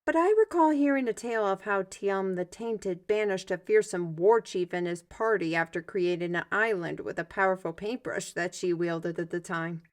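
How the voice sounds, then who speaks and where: Woman playing an expressive, theatrical fantasy artist mage. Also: medium pitch, moderate pace, few pauses, natural voice. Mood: explaining. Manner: expressive, formal old-fashioned phrasing, muttered asides and sighs. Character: lively, theatrical artist mage with a magic paintbrush, storytelling mentor. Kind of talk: fantasy audio roleplay, ASMR.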